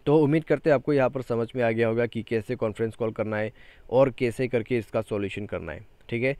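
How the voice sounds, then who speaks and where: A man's voice: medium pitch, fast talker, warm tone, clean tone, dry recording. A man presenting an informal step-by-step phone tutorial as a YouTuber, often tagging his sentences with a short check-in phrase.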